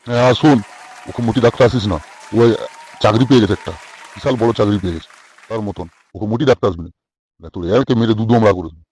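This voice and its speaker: A man's voice: on the low side, quick pace, a little mellow, breathy edge, some chatter behind, rough recording. A man voicing an irritable, crude middle-aged village father in a comic cartoon, scolding bluntly with a West Bengal accent. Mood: gruff, scolding.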